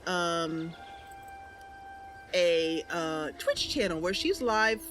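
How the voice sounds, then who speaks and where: A woman's voice: fairly low pitch, sing-song, very slow, a bit bright. A woman doing casual, chatty and enthusiastic commentary as she streams a game. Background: faint music.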